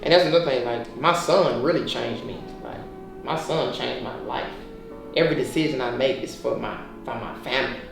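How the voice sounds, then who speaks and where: A man in his twenties speaks in a casual, earnest way, circling back over his phrases. His voice is low-pitched for the company he keeps. It comes from a little way off the mic, with heavy room echo. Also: fairly quick, vocal fry. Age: 20s. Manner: earnest, repetitive, frequent tag questions. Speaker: guest. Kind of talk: casual chat between friends. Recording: very echoey room, distant mic, faint music.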